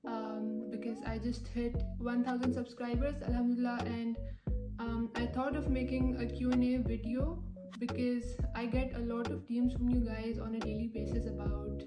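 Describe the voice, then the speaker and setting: A woman's voice: fairly high pitch, flat delivery, moderate pace. Chatty young woman, echoey room, music. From Pakistan. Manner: chatty, many fillers like "like" and "um". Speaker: vlogger. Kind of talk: casual Q&A chat to camera.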